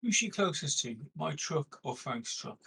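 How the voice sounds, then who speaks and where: A man's voice: fairly high pitch, sing-song, normal speed, creaky, sharp tone, slightly rough. A man playing a tabletop roleplaying game, speaking in casual table chat.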